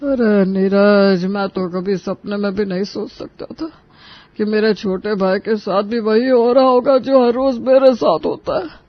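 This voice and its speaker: A man's voice: sits high, flat delivery, unhurried, no echo, dull audio. A man voicing a henpecked, complaining elder brother in a Hindi cartoon, talking in a familiar, plaintive way. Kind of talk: dramatic Hindi cartoon dialogue.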